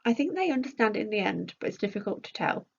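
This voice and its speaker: A woman's voice: mid-range voice, sing-song, fast, creaky, slightly rough, highs cut off. A woman reading out a scripted academic conference presentation as a researcher.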